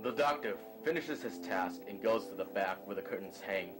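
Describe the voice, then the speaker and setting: A man's voice: on the low side, expressive, normal speed, over music. A man narrating a scripted story in the present tense, describing the action as narrator.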